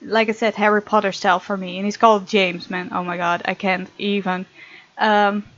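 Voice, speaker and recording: A woman hosting a gaming video, reading visual novel text aloud and chatting casually in an expressive way. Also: mid-range voice, normal speed, no echo, dull audio.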